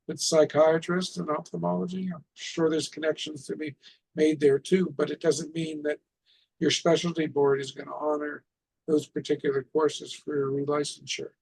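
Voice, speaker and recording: A middle-aged man presents informally in a fairly high-pitched voice with a lot of gravelly creak. He explains things step by step and often puts questions to his listeners. The tone is husky and rough-edged. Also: poor audio. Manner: poses rhetorical questions. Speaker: presenter of an amateur radio club session.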